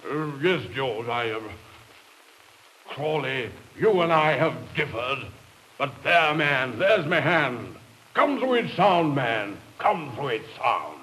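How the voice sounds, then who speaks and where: Pompous blustering English gentleman, swooping pitch. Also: very animated, slow, poor audio. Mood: solemn, hearty. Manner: spluttering, stammering, pompous. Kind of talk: 1950s radio drama acting. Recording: hissy 1950s radio recording.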